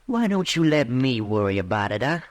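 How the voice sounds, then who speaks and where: An actor playing a defensive young farmer's son with an American accent in an old-time radio western. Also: male voice, medium pitch, sing-song, fairly quick, dry recording, natural voice. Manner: defensive, defiant.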